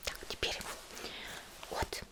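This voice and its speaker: Whispering woman in a gentle ASMR roleplay. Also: low-quality recording. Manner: gentle, caring, unhurried, uses affectionate diminutives. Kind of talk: whispered ASMR roleplay, softly talking to the listener.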